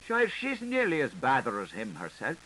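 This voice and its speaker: A knowing, sharp-tongued washerwoman voiced by a man passes on scandal in a lilting, rhetorical way. It is a dramatic literary audiobook reading from an old tape with light hiss. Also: medium pitch, wildly swooping pitch, moderate pace, rough recording. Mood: relishing. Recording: hissy 1985 tape recording.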